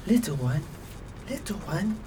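A woman's voice: fairly low pitch, sing-song, measured pace, mellow, a little hiss or hum, voice pushed down. A woman voicing a cowardly thirty-year-old male stalker, wheedling and sullen, in an amateur radio drama. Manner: wheedling then sullen and whiny.

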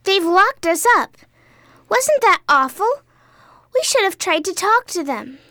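An earnest, plucky little girl voiced by a woman for a radio play. Her voice is high and very animated, and she states things plainly. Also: measured pace, dry recording, clean studio sound, exaggerated voice. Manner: earnest, matter-of-fact.

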